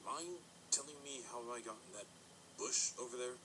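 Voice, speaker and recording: A man's voice: medium pitch, normal speed, close to an everyday voice. Man acting a wary military pony guard, noisy recording. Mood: puzzled. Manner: formal, military. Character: male pony soldiers, formal and wary. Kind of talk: audio drama acting.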